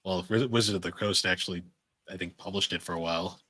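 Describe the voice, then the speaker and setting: A man's voice: deep voice, fairly even intonation, fast talker, pushed and bright, breathy edge, no room sound. A man co-hosting a podcast, chatting casually and informally in loose, rambling remarks. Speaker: podcast co-host. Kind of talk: casual chat about anime and games.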